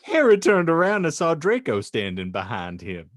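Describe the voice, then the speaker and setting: Theatrical, expressive man with dry sound. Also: fairly high pitch, normal speed, no room sound. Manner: mock-solemn, wry, theatrical. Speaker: podcast host and narrator. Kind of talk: comedic banter and dramatic story reading.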